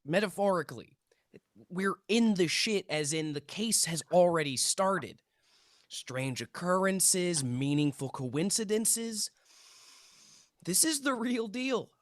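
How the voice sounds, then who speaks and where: A man's voice: high voice, animated, slow, no echo, studio quality. A man playing an intense, earnest young amateur paranormal investigator in a script read, self-important and conspiratorial. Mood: excited, intense. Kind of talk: table read of a TV pilot script.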